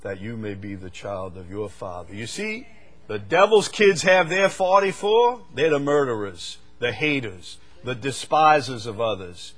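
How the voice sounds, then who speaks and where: An older man from the United States preaching a sermon, swinging from loud, fervent stretches to quiet asides. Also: medium pitch, lively intonation, measured pace, soft background music.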